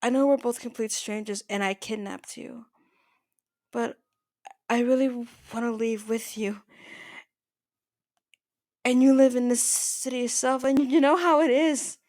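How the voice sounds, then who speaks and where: A woman playing an older mafia boss in an ASMR roleplay, soft-spoken, possessive and quietly menacing, with a halting, intimate delivery. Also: fairly high pitch, no room sound, studio quality, natural voice. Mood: tentative, hopeful. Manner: halting, stammering, intimate address to the listener.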